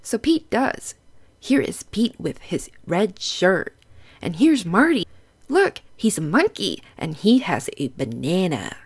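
A woman's voice: on the low side, sing-song, slow, breathy edge. A woman hosting a children's storybook read-aloud, explaining things in simple, instructive phrases and putting questions to young listeners.

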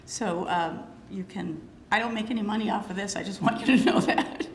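A woman in her sixties with a gravelly, hoarse voice, giving a prepared lecture as a curator, speaking into a podium microphone with some fillers and pauses. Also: mid-range voice, slight background noise. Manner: measured, slightly halting delivery with fillers. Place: lecture hall with wood paneling. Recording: roomy hall, faint ambience.

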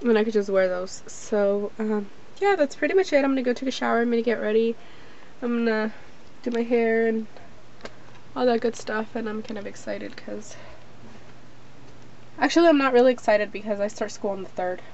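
Young American woman, high-pitched, chatting casually. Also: normal speed, long pauses, a little mellow. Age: young adult. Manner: informal, rambling, frequent "like". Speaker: vlogger. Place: small rooms at home, closet and bedroom. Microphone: handheld camera at arm's length. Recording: dull, noisy handheld camera audio.

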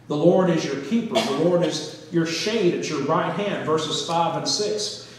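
Male pastor, middle-aged, fairly high voice, folksy sermon delivery, very reverberant distant church recording. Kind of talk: sermon with scripture reading. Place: church sanctuary, speaking from pulpit and floor. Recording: echoey church hall, distant mic, faint noise.

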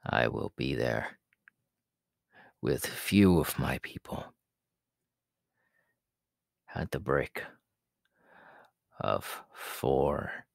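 A man voicing a menacing, haughty demon king in an ASMR audio roleplay, slow and imperious, in a gravelly, breathy half-whisper. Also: a bass voice, lively intonation, no room sound, studio quality, full character voice. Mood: commanding. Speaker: voice actor.

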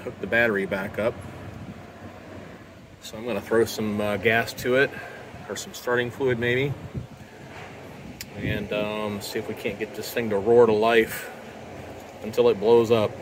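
A man's voice: lowish voice, flat delivery, a little slow, long pauses. A middle-aged American man giving casual, dryly humorous commentary while working hands-on outdoors. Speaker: host of a car repair channel. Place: outdoors beside a car. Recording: clearly noisy outdoor recording.

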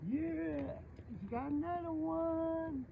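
A man chatting casually while fishing on a riverbank, excitable and repeating short phrases, recorded on a phone outdoors. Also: very high voice, takes it slowly, gravelly, noisy background. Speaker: angler.

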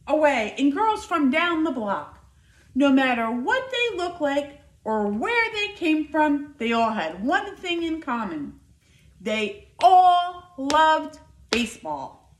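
A woman in her fifties reading a children's picture book aloud indoors, with expressive, deliberate, emphatic delivery. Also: high-pitched. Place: living room by a fireplace, indoors. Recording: roomy, echoing indoor sound.

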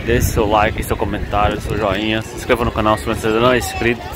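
A man's voice: on the low side, a little fast, background noise. A man in his thirties casually narrating a walking vlog on a phone outdoors at an evening event. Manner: relaxed, chatty narration while walking. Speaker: vlogger. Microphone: phone held by hand. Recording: outdoor phone audio, loud music and crowd. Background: crowd chatter and loud music.

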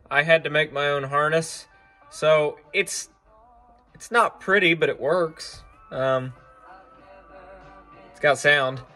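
A young man, a farmer vlogger, talking casually about his tractor cab setup while holding his phone, relaxed and chatty with many pauses. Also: fairly high pitch. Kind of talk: casual vlog walkthrough. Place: farm shop and tractor cab. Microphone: handheld phone at arm's length.

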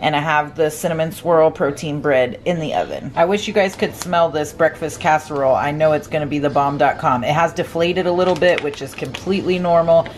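A woman's voice: low voice, brisk, hardly any pauses, slight background noise. A middle-aged woman narrating her home cooking in a chatty, upbeat way, explaining each step as she goes. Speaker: cooking vlogger. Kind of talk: casual voice-over cooking narration. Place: home kitchen.

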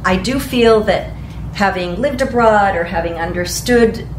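A woman's voice: mid-range voice, unhurried. A woman in her forties from Ecuador, a real estate agent giving earnest answers in a panel interview, with Spanish as her first language. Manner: earnest, gestures while explaining. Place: meeting room in front of a large screen. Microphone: far camera mic. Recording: echoey room from far off, faint noise.

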